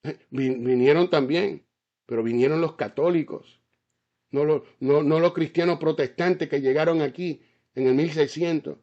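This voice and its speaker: A man's voice: on the high side, moderate pace, darkish tone. A man preaching a fiery sermon, often stopping to ask whether his listeners understand, with stammered restarts and repeated words. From Caribbean island. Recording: slightly dull, lo-fi sound.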